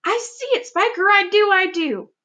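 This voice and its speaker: A woman reading a children's storybook, voicing a fat, greedy, impatient aunt who cries out in loud, eager exclamations. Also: very high voice, moderate pace, pitched above the performer's own voice. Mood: excited. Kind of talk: children's storybook read aloud.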